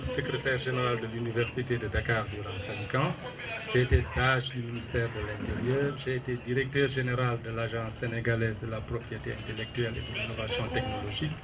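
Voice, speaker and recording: A Senegalese man in his sixties giving a formal press statement, measured and hesitant with many fillers. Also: mid-range voice. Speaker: retired civil administrator and local politician. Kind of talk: press statement answering an interviewer.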